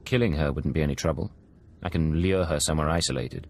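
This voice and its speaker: An actor playing a cold-blooded undercover agent in a video game, confident, controlled and polite. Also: male voice, extremely low pitch, quick pace, darkish tone. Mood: businesslike. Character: smooth, cold-blooded male secret agent posing as pirate.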